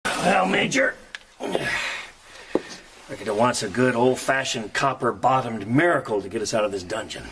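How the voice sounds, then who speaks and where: A man's voice: mid-range voice, animated, a little slow, long pauses, heavy background noise. An actor playing a shrewd, plump old American man who talks in a folksy, drawling way, in a radio play. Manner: folksy drawling talk, blustering threats.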